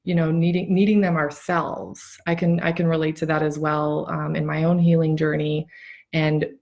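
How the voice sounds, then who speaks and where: A woman with a slightly low voice hosts a casual online interview. She talks in an earnest, conversational way, letting her sentences wander as she goes. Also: little pitch movement, normal speed, vocal fry, darkish tone, lo-fi sound. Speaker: host of a live interview show. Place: remote online live video call.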